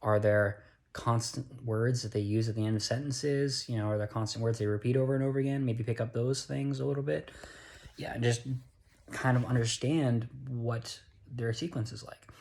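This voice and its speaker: Tired young american guy rambling into his phone, keeps correcting himself, mid pitch, casual vlog talk. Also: steady tone, moderate pace, darkish tone. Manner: rambling, self-correcting, earnest. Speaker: vlogger. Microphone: handheld phone.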